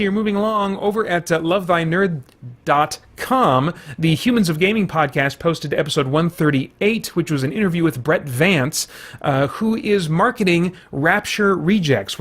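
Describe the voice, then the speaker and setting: A man's voice: a little high, fairly bright tone. A man hosting a podcast, running through community news in a casual, unscripted way, thinking aloud as he goes.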